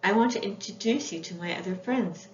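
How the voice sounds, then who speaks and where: Woman voicing an excited little girl, echoey room. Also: mid-range voice, fairly quick, voice pushed up, close to an everyday voice. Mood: excited, welcoming. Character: Kukana, excited, affectionate young girl. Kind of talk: children's storybook reading. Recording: echoey room, dull sound, faint noise.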